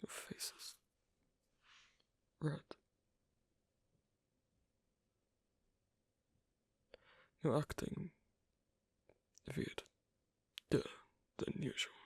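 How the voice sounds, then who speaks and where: A performer voicing a shy, awkward, asocial young werewolf in an intimate ASMR roleplay, speaking softly and haltingly in a breathy, husky near whisper. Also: medium pitch, wildly swooping pitch, no room sound, clean studio sound, full character voice. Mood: puzzled, tentative. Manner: hesitant, halting, soft-spoken with long pauses.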